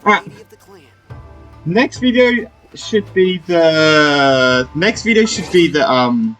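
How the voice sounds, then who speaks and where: A young man commentates casually over a video game. His voice is a bit high and quite lively in pitch, and his rambling thoughts often trail off. Faint music plays behind him. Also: takes it slowly. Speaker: gaming YouTuber. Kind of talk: casual let's-play commentary.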